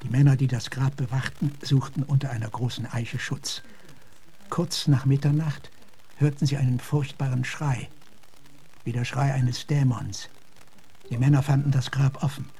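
An actor playing an earnest, philosophical gentleman officer in a German radio drama, speaking in a measured, reflective way. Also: medium pitch, steady tone, breathy edge. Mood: somber. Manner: measured, reflective, sometimes hushed.

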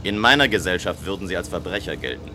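An actor playing a proud, sceptical tower builder in a radio play, speaking in a pointed, argumentative way. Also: male voice, low-pitched, lively intonation, fast, noisy background. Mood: irritated.

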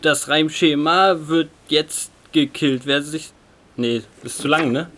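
Slow, halting man, slightly high. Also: a bit bright. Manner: halting, repeating lines. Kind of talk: recorded comedy takes with restarts.

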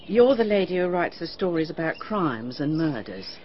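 A woman's voice: low voice, sing-song, moderate pace, slight background noise. An actress playing a humble widowed village cleaning woman, deferential and plain-spoken, in a radio drama. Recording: dull lo-fi radio drama recording.

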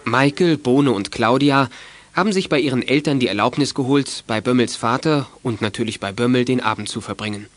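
Man, narrator, mid-pitched voice, scripted storytelling, youth detective radio play, very dry recording. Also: a little fast, no room sound.

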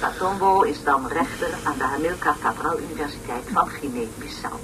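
A woman's voice: deep voice, a little fast, natural voice. An actress playing a pompous, long-winded woman lecturer on an educational tape in a radio play, speaking in solemn, over-articulated phrases. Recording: noisy, distant old radio play recording.

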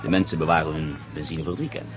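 A scheming prisoner of war with a very low voice, calm and calculating. The radio play recording has a clear hiss behind him. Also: male voice, rapid-fire. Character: cunning German POW escape planner.

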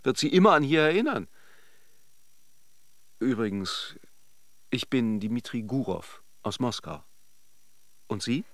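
An actor playing a flirtatious, charming Russian philanderer who teases and muses, in a radio drama. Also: male voice, mid-range voice, big pitch swings, takes it slowly, long pauses, dry recording. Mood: teasing, flirtatious. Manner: teasing and joking, musing when alone. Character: playful, flirtatious Russian philanderer, charming but privately reflective. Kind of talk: radio drama acting in intimate dialogue and monologue.

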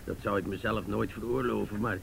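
A man's voice: lowish voice, steady tone, brisk, gravelly. An actor playing an older, jovial and patronising male police chief in a radio drama, hesitant and avuncular. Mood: jovial, flattering. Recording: radio drama with faint background noise.

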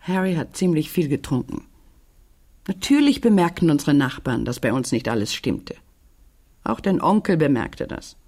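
A guarded, troubled widow in a radio crime drama. Her voice sits fairly low and is distinctly husky. She speaks haltingly, in short, dramatic phrases. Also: sing-song, mellow, full character voice. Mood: confiding, regretful. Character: troubled, guarded widow confiding in a young neighbour girl.

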